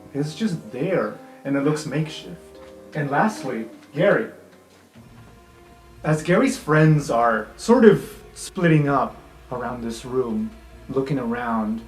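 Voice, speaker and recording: A man's voice: mid-range voice, darkish tone. A man narrating an improvised tabletop game as game master, in a casual, conversational way with some swearing. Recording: roomy, music underneath. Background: background music.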